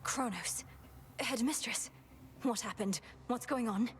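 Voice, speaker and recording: A woman's voice: fairly high pitch, slow, background noise. A young woman playing a determined, proud underworld princess in a video game, formal and intense in her delivery. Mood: dismayed. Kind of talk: dramatic video game voice acting.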